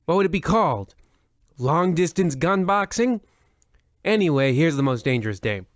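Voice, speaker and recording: An actor playing a self-important, deluded radio anthology host, delivering a pompous, wryly rambling monologue in old-time style. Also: male voice, a little high, animated, moderate pace, slight background noise, lightly acted. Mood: wry, animated.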